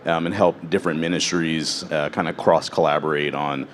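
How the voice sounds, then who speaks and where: A man's voice: a bass voice, fairly even intonation, a little fast, slight background noise. A man, formerly a medical device founder, introducing himself on a conference panel with many fillers. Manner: filler-heavy, lots of "uh" and "kind of".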